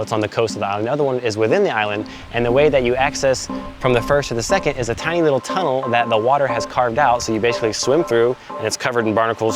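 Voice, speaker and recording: A man narrating a travel vlog in an upbeat, joking, chatty way, outdoors on an island tour. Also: medium pitch. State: congested from a head cold. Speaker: travel vlogger.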